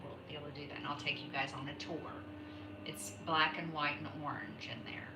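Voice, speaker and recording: A woman's voice: sits low, fairly even intonation, a little fast, recorded from a distance, noisy background. A middle-aged woman chatting casually while knitting on a craft livestream, rambling and interrupting herself often. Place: home. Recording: echoey room, voice off-mic, music behind.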